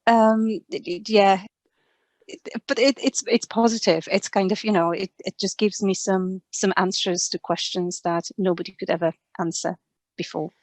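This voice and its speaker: A woman in her forties with a Polish accent, talking reflectively and casually, often halting and repeating words. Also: mid-range voice, a little mellow, dry recording. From Poland. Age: 40s. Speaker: interview guest, speech and language therapist.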